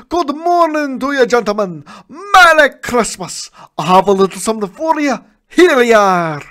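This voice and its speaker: A man's voice: fairly high pitch, darkish tone. A man doing old miser Scrooge, pleading. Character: old miserly man, frightened then joyous.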